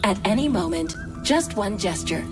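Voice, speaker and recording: A woman's voice: medium pitch, expressive, moderate pace, music in the background, lightly acted. A woman voicing a polite, helpful artificial intelligence guide in a video game, formal, precise and obliging. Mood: helpful, composed. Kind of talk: video game cutscene acting.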